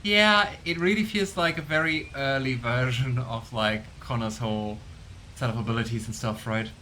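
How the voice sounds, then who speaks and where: A man giving casual gameplay commentary, chatty and curious, thinking out loud. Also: mid-range voice, moderate pace, a little hiss or hum. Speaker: let's play streamer.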